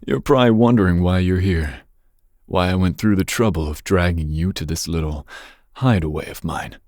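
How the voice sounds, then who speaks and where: A man playing a dangerous, possessive young mafia boss's son in an intimate ASMR roleplay, speaking breathily with smooth, teasing menace. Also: normal speed, darkish tone, no echo, clean studio sound. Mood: smug, menacing. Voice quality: breathy, husky low voice. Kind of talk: ASMR roleplay, intimate second-person monologue.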